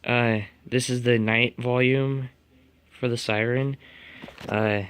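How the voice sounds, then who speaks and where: A man's voice: medium pitch, fairly even intonation, takes it slowly, a bit bright, clean tone, dry recording. A man giving casual unboxing and setup commentary, muttering to himself as he works. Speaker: hobbyist reviewer. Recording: noisy home recording with clicks and beeps.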